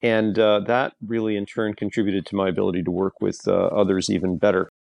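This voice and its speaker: A middle-aged man from Europe, a leadership consultant answering interview questions in a measured way, often pausing on "uh". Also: fairly low pitch, little pitch movement, vocal fry, a little mellow, no room sound, muffled recording. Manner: measured, frequent "uh" fillers.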